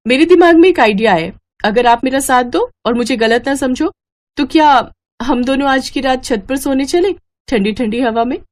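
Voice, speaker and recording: Woman playing a gentle, devoted young wife. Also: sits high, moderate pace, pitched above the performer's own voice, close to an everyday voice. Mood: reassuring, cheerful.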